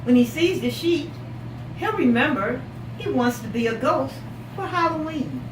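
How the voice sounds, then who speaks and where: A woman teacher reading a picture book aloud to young children, asking questions, repeating their answers encouragingly and stretching words for emphasis. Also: high-pitched. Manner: expressive, drawn-out emphasis on story words. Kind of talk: interactive read-aloud storytelling with questions. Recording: echoey room, distant mic, loud background noise.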